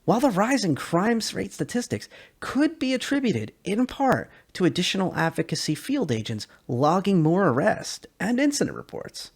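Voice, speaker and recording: Male narrator for sci-fi lore read, husky tone, emphatic and theatrical, widely varied intonation. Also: high-pitched, big pitch swings, moderate pace. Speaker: narrator, lore video creator. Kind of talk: dramatic reading of science fiction lore.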